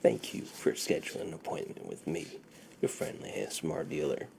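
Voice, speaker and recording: A man speaking softly and breathily, often whispering, in an unhurried, reassuring ASMR roleplay as a friendly dealer. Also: extremely low pitch, gravelly, slight background noise. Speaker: ASMR performer. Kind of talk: soft-spoken ASMR roleplay.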